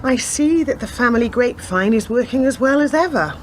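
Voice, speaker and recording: A woman playing a wry, measured newly made detective in a radio drama. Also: fairly high pitch, lively intonation, a little hiss or hum, close to an everyday voice. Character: newly promoted female detective, wry adult daughter. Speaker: actor in a community radio play.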